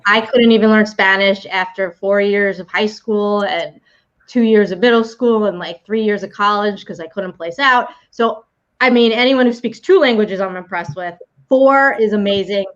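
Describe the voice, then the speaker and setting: A friendly, upbeat woman hosting a live online game show who laughs easily, with a medium pitch. Her voice comes through muffled, lo-fi call audio. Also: moderate pace.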